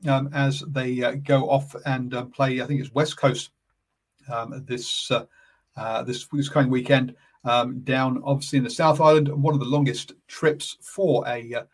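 Halting husky New Zealand man. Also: mid-range voice. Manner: halting, many ums and uhs; calm, soft-spoken. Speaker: rugby podcast host. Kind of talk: casual live-stream commentary.

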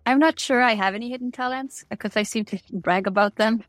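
A woman's voice: on the high side, brisk, no room sound, studio quality, soft background music. A woman from Norway with a Norwegian accent, answering interview questions in a candid, chatty way. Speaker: interview guest.

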